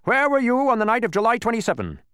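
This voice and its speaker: A man voicing a tiny, stout, fierce storybook policeman, officious, blustering and quick to accuse. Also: very high voice, huge pitch swings, pushed and bright, smooth, no room sound, clean studio sound, voice pushed up, full character voice. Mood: stern, officious.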